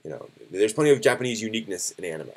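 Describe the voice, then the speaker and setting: Male streamer, fairly low voice, casual host delivery, conversational and a bit halting as he thinks aloud. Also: expressive, rough recording. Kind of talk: casual live stream commentary.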